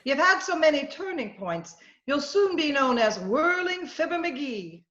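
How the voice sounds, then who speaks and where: An actress playing a sharp-tongued, long-suffering wife in an old-time radio comedy, wry and teasing, on a muffled, roomy recording. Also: female voice, high-pitched, big pitch swings, unhurried, a little hiss or hum, exaggerated voice.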